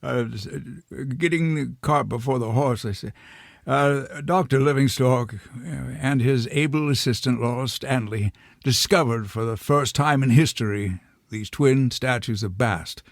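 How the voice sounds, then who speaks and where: A man's voice: medium pitch, a little slow, creaky, dry recording, studio quality. A man playing a nervous, well-mannered otter archaeologist in a comic radio drama, fussy, genteel and hesitant. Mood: genteel, eager.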